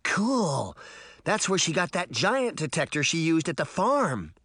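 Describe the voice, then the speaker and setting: A man voicing an eager, excitable little girl, the gushing younger sister in a children's audiobook. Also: a little high, normal speed, no echo, studio quality, pitched above the performer's own voice. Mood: excited.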